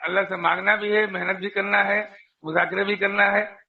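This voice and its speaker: A man's voice: high voice, little pitch movement, moderate pace, vocal fry. A man giving earnest, informal religious advice over the phone, often repeating phrases for emphasis. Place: phone call. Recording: tinny narrowband phone call recording.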